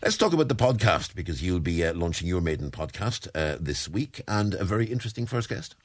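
A man hosting a local radio show, chatting quickly and often repeating words as he starts a thought. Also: low voice, very animated. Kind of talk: radio chat. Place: radio studio.

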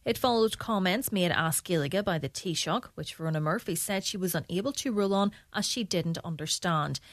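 A woman's voice: lowish voice, moderate pace, no room sound. A woman with an Irish accent reading scripted radio news as a presenter. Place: dry studio.

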